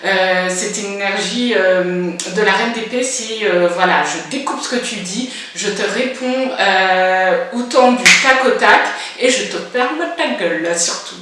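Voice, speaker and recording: A woman's voice: medium pitch, unhurried, few pauses, a bit bright, very echoey, recorded from a distance, a little hiss or hum. A woman in her forties talking frankly to camera in her first vlog, hesitant with lots of filler sounds but blunt. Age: 40s. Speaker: vlogger. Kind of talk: casual personal monologue.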